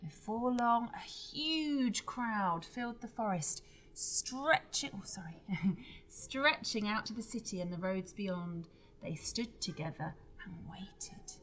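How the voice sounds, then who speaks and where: Slow-paced woman reading a children's storybook. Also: mid-range voice, animated, fairly bright tone, slight background noise. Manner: explanatory, addresses listeners with questions. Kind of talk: expressive children's storybook read-aloud with asides.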